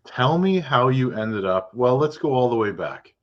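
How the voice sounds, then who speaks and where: A man's voice: medium pitch, animated, lo-fi sound. A man hosting a podcast, asking casual, rambling questions and often stopping to rephrase them mid-sentence. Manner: chatty, restarts his questions, quick acknowledgments. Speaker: podcast host and realtor. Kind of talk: casual interview.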